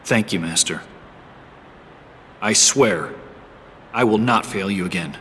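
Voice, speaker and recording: A man's voice: lowish voice, slow pace, a bit bright, a little hiss or hum. A man playing a dutiful, earnest young warrior apprentice in a fantasy game cutscene, speaking tersely. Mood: earnest, determined. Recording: long reverb, music behind the voice.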